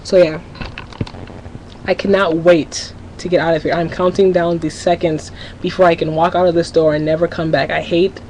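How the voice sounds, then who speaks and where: A woman's voice: on the low side, little pitch movement, moderate pace, darkish tone, a little hiss or hum, poor audio. Teenage girl rambling candidly to camera. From Minnesota, USA. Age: teens. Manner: rambling, candid, restarts sentences often. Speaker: vlogger. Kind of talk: casual confessional webcam vlog.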